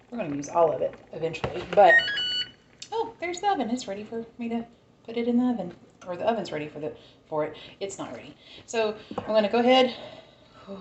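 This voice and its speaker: A woman's voice: lowish voice, reverberant, a little hiss or hum, poor audio. A woman with a Southern American accent, chatting casually and thinking aloud as she cooks in her home kitchen. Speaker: home cook. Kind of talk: casual cooking vlog chat.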